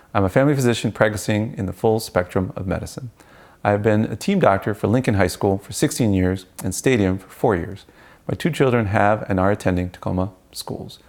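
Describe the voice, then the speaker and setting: A man's voice: on the low side, creaky, darkish tone. A middle-aged man from Peru, a native Spanish speaker, giving a prepared campaign speech as a school board candidate.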